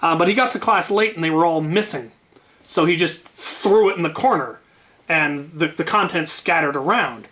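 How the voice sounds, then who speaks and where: Man, slightly high voice, casual storytelling, wry, halting with repeated words. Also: fairly bright tone. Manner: hesitant, repeats words while gathering thoughts. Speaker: storyteller and channel host.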